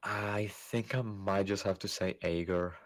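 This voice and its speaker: A man's voice: sits low, steady tone, a little slow. A man from Spain with a Spanish accent, chatting easily on a gaming livestream and chuckling often. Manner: easygoing, chuckling, trailing off mid-thought. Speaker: streamer. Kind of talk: casual gaming stream chat.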